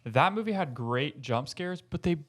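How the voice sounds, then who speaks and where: A man's voice: fairly high pitch, expressive, normal speed. A young man chatting casually as a panelist on a podcast. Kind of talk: casual chat.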